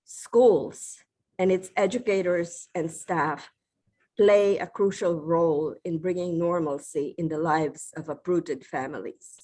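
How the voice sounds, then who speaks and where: A middle-aged woman from the Philippines giving a formal, measured acceptance speech with occasional restarts. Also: fairly low pitch, darkish tone. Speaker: award recipient giving a speech.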